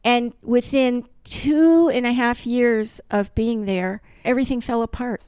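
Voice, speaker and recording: A middle-aged woman from Colombia, Spanish her first language, telling her life story as a podcast guest in an earnest, animated way. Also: on the high side, unhurried, clean tone, no echo, clean studio sound. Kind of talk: personal testimony in an interview.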